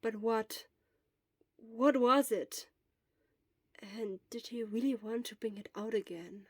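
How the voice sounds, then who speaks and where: A woman reading a fan fiction story aloud as narrator, speaking softly and sometimes dropping to a whisper. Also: fairly high pitch, slow, no room sound.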